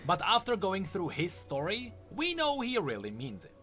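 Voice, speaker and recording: A man's voice: high-pitched, huge pitch swings, moderate pace, pushed and bright, faint music behind. A man delivering scripted narration as the narrator of a lore video.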